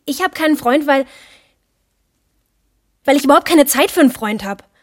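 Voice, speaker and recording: A woman's voice: high-pitched, lively intonation, moderate pace. An actress in a radio play playing an exasperated, defensive young woman with a snappy, indignant delivery.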